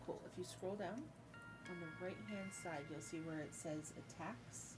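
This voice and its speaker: A woman with a slightly low voice acts as game master at a tabletop roleplaying game. She narrates scenes and explains rules casually, with music clearly playing behind her. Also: unhurried. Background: background music.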